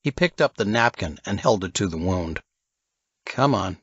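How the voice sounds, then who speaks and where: A man's voice: low-pitched, animated, brisk, slightly rough, dry recording, highs cut off. A man reading a novel as scripted narration, telling the story as an audiobook narrator.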